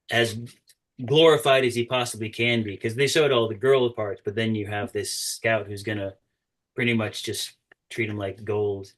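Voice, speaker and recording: Guy with a lowish voice talking casually in an acting class discussion. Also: a bit bright.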